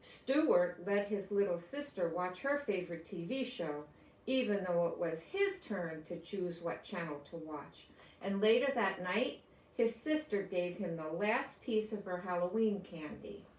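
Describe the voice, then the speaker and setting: An older woman with an American accent reading a children's story aloud, deliberately and explaining things as if to young listeners. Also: on the low side, lively intonation, a little mellow, a little hiss or hum. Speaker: storybook reader. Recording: roomy echo, distant from microphone.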